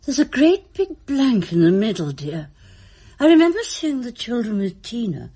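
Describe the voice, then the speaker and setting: An actress playing a frail, kindly old woman in a radio drama, husky, genteel and wistful. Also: fairly high pitch, very animated, normal speed, dark tone. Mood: wistful, grave.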